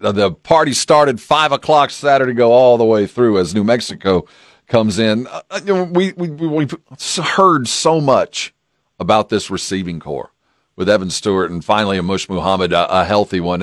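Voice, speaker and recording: A man's voice: on the low side, normal speed, no room sound. A man hosting a casual sports radio interview, speaking close to a studio microphone.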